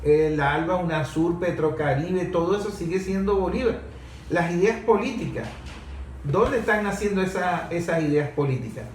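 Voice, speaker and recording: A man's voice: sits high, normal speed. A man hosting an opinionated political conversation, speaking emphatically with repetition and rhetorical questions. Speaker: host of a history and politics programme. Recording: roomy, distant microphone, background noise.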